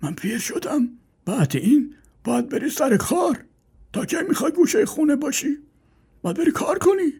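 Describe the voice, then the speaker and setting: Casting: a feeble old dad, high, thin and sighing, for a storybook. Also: male voice, lively intonation, gravelly, darkish tone, exaggerated voice. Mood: pleading advice. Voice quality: thin, strained, old-sounding. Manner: sighing, preachy, quavering.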